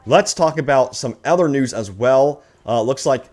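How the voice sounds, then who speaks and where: A man's voice: medium pitch, lively intonation, moderate pace, a little mellow. A man hosting a gaming news video in an upbeat, enthusiastic fan commentary style, stretching words for emphasis and addressing his viewers directly.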